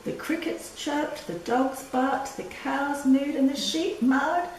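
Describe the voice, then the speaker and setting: Slow-reading older woman in an echoey room. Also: sits high. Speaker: storyteller reading a picture book to children. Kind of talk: read-aloud children's story with asides. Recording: echoey room, distant mic, faint noise.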